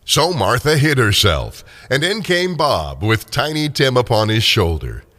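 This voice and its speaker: A man's voice: mid-range voice, sing-song, measured pace, sharp tone. A man narrating a classic story dramatically as scripted radio theater. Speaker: narrator and performer.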